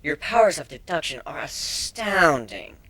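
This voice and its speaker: A man's voice: fairly high pitch, sing-song, a little slow, sharp tone, pitched above the performer's own voice, full character voice. A man voicing a sarcastic, defiant female changeling held captive in a dramatic story reading, with a biting, exasperated delivery.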